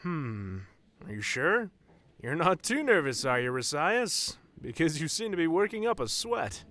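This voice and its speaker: A man's voice: fairly high pitch, very animated, unhurried, sharp tone, exaggerated voice. A man playing a proud, playful wedding officiant with a theatrical, formal manner in an audio roleplay drama. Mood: amused, reassuring.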